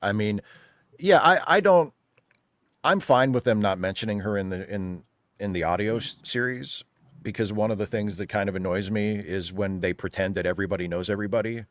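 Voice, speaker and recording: A man's voice: fairly low pitch, brisk, no echo, studio quality. A man chatting casually and opinionatedly as a panel guest, emphatic and wry, sometimes slipping into mock quotes.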